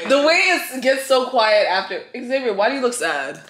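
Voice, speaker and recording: A young woman hosting a casual vlog, holding the camera close while she chats in a bright apartment living room. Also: a little high, measured pace, a little hiss or hum. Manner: chatty, animated storytelling. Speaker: vlogger host. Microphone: handheld camera close to face. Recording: echoey room with music behind.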